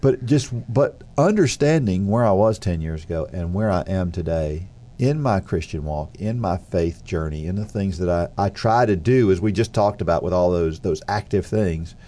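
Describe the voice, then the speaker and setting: Congested low-voiced man. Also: normal speed. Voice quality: congested, nasal. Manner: thoughtful, relaxed, frequent affirmations. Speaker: podcast co-host. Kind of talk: conversational faith podcast.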